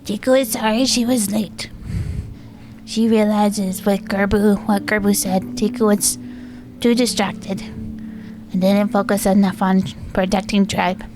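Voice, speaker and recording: A woman who is a player in a tabletop roleplaying game, improvising casually in character with halting, simple, repeated phrases. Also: medium pitch, level tone, noisy background. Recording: music behind the voice.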